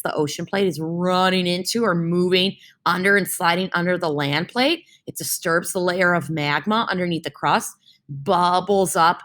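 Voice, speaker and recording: A woman's voice: on the low side, fairly bright tone. A young woman teacher reading a science lesson aloud for her class, explaining with homely comparisons and often checking in with "right?".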